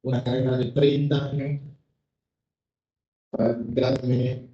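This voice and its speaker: A Haitian singer in his fifties, whose first language is Haitian Creole, answers audience questions slowly and carefully, searching for words in a second language. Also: medium pitch, little pitch movement, dark tone. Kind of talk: live public Q&A. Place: cultural venue hall with an audience. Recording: echoey, dull hall sound.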